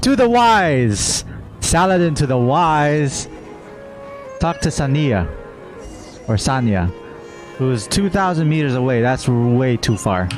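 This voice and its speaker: A man streaming a video game with a lively, swinging intonation at medium pitch. He comments casually and playfully, thinking out loud over faint background music. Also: takes it slowly, pauses often. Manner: playful, musing aloud. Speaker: gamer, streamer. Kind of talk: casual gameplay commentary, reading dialogue aloud.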